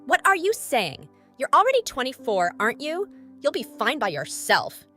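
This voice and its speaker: Woman voicing pushy matter-of-fact mother, high pitch, wildly swooping intonation, manga dub. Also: wildly swooping pitch, normal speed, sharp tone, exaggerated voice. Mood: insistent. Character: brisk, pushy middle-aged mother of a grown son. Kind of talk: animated comic dub acting. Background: background music.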